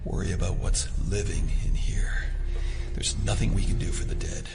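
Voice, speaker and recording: An actor playing a tense, hard-edged government operative in an audio drama, giving clipped, urgent, hushed lines over music and effects. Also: male voice, low-pitched, steady tone, normal speed, lightly acted. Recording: heavy music and effects behind.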